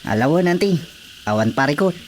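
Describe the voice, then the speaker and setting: A woman's voice: low-pitched, lively intonation, brisk, a little mellow, slight background noise. A woman playing a teasing, chatty countrywoman in an Ilocano radio comedy drama, speaking with an Ilocano accent. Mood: friendly.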